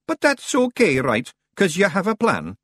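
A man voicing a small, wild male fairy warrior chieftain with a Scottish accent, loud and hearty. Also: high voice, wildly swooping pitch, normal speed, voice pushed up, full character voice. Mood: boisterous.

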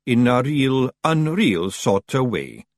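A man's voice: mid-range voice, big pitch swings, slow, dry recording, voice pushed up. A man voicing a tiny, nervous, cheeky fairy man, wheedling and squirming. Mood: sheepish.

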